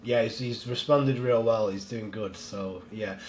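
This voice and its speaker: Man, relaxed podcast guest, slightly nasal from a cold, faint room noise. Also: on the low side, unhurried, poor audio. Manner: relaxed, rambling, laughs easily. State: head cold, a bit nasal. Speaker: strongman coach. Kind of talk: casual podcast chat.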